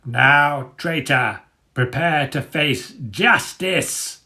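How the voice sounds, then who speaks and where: A man's voice: on the high side, extremely slow, bright, forceful tone, voice pushed up, heavily acted. A man voicing a cruel, menacing war dog who barks scornful orders in a storybook reading. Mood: cold menace. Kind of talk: dramatic storybook character reading.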